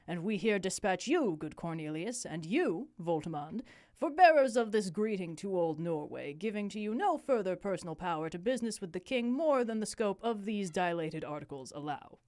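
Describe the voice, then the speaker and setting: A woman's voice: medium pitch, animated, moderate pace, a little mellow, no echo, studio quality, close to an everyday voice. A woman voicing a stately Danish king in a formal, declamatory Shakespeare read-aloud. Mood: pompous, businesslike. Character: stately Danish king addressing his court.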